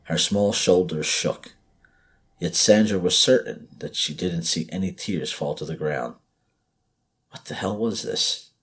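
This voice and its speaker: A man's voice: sits low, a little slow, fairly bright tone, breathy edge. A man reading a scripted horror story as narrator, in a dramatic, suspenseful, emphatic way.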